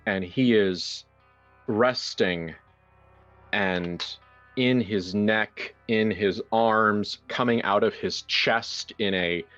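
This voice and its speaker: A man's voice: lowish voice, extremely slow, fairly bright tone, lo-fi sound, faint music behind. A man hosting a horror roleplaying game in a casual, improvised way, with wry joking asides. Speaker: game master and host.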